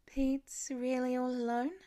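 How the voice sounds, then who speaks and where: A woman's voice: high voice, little pitch movement, unhurried, dark tone. A woman voicing a hesitant, earnest young daughter in a storybook reading. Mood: curious. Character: hesitant, sheepish young daughter with some attitude.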